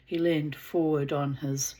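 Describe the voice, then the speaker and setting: A woman with a New Zealand accent reading a novel aloud, deliberate and unhurried, with occasional asides. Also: deep voice, fairly even intonation, warm tone. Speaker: audiobook reader.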